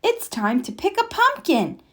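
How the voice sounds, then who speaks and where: A woman voicing an excitable, bouncy young boy frog in a storybook, exclaiming in a singsong way. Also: very high voice, a little slow, voice pushed up, heavily acted. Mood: eager.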